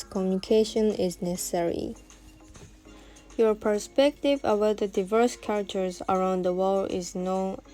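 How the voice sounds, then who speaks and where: Soft-toned young woman reading. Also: mid-range voice, poor audio. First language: Japanese. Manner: careful, measured reading with non-native phrasing. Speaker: student presenter. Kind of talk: reading a prepared presentation. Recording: faint music behind the voice. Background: faint background music.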